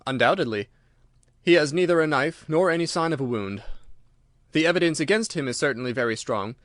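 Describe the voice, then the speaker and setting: A man's voice: a little high, animated, fairly quick, fairly bright tone, dry recording, studio quality. Man reading as an eager, assertive police inspector. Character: earnest, confident male police inspector, eager and courteous. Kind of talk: audiobook character dialogue.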